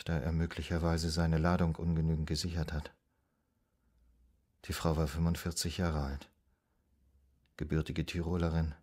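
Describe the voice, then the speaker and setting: Deep-voiced, courteous doctor. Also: male voice. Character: courteous, troubled male psychoanalyst. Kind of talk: German radio drama acting.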